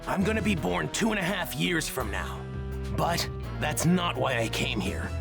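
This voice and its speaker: An actor playing an earnest, grave young warrior from a doomed future in an anime video game dub, speaking politely and deliberately. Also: male voice, on the high side, lively intonation, vocal fry, over music. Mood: earnest, guarded.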